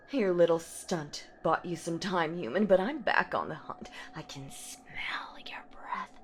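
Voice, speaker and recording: A woman's voice: on the low side, animated. A woman voicing an ancient female boogeywoman in a horror roleplay audio drama, predatory and possessive, with teasing, menacing delivery. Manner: slow, teasing, menacing, breathy whispers and drawn-out words.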